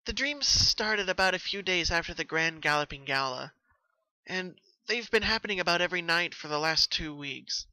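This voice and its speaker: A man voicing a troubled, sweet young female party pony in an earnest, emotional and animated way, as in a story reading. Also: on the high side, moderate pace, no echo, voice pushed up, full character voice, low-quality recording. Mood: recalling.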